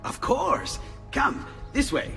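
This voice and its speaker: An actor playing an excitable, brilliant, friendly Italian inventor in a video game, with an Italian accent. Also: male voice, very high voice, takes it slowly, vocal fry, exaggerated voice. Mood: delighted. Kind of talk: video game cutscene acting. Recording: long hall reverb, background music.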